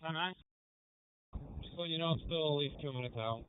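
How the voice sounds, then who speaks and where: A man's voice: on the high side, moderate pace. Casual man on tinny, slightly noisy radio. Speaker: emergency responder en route. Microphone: two-way radio. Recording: tinny radio channel, faint noise.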